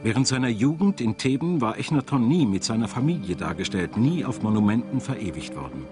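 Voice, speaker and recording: Male documentary narrator, lower register, varied melody in delivery, clear music bed. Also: sing-song, a little fast. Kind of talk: scripted documentary narration and voice-over.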